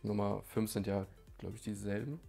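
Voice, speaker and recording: A man of twenty-one talking casually on a dating show, with relaxed, hesitant short answers. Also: on the low side, moderate pace, creaky, husky, faint music behind. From Germany. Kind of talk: casual chat.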